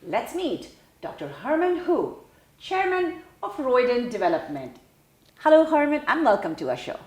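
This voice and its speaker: A woman hosting an interview show, delivering a polished, expressive scripted introduction and asking questions. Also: sits high, moderate pace. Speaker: show host. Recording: echoey room.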